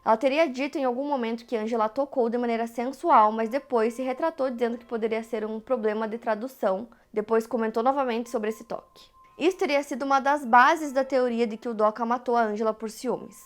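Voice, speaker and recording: A woman's voice: fairly high pitch, brisk, hardly any pauses. A Brazilian woman steadily narrating a true crime case from a script. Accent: Brazilian Portuguese. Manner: steady, even retelling of events.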